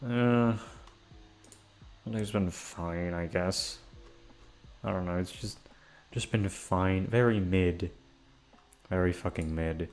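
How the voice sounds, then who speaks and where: A man's voice: low voice, takes it slowly, long pauses, darkish tone. A man chatting casually to his listeners in an outro, rambling and self-deprecating with lots of fillers. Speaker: voice actor.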